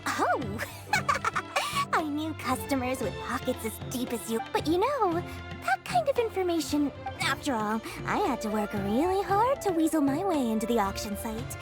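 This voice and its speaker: A small, wily female merchant from a video game. She flatters and wheedles in a high, very animated voice full of theatrical flourishes, with music playing behind her. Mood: wheedling, theatrical. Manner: wheedling, ingratiating, drawn-out flourishes. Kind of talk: video game character acting. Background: background music.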